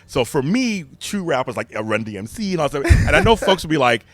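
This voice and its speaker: A middle-aged man with a deep voice, speaking candidly and emphatically as a podcast guest. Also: fairly quick, no room sound. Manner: animated, emphatic storyteller. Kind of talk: candid conversation.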